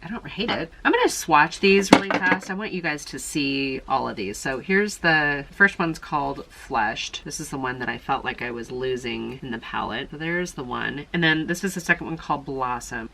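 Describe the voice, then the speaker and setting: A woman chatting casually through a makeup tutorial, thinking aloud with quick asides and a slightly nasal sound. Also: low voice, bright, forceful tone. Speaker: beauty vlogger.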